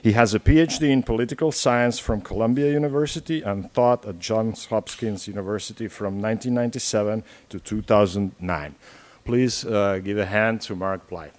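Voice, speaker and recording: Lowish-voiced man reading an introduction. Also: a little mellow. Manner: measured, reading from notes. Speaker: host introducing a speaker. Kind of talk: formal introduction read aloud.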